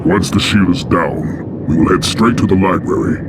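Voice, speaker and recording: A man voicing a hulking, cruel alien chieftain with a rumbling, gravelly, menacing and taunting delivery. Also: moderate pace, very noisy, close to an everyday voice. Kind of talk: video game cutscene acting.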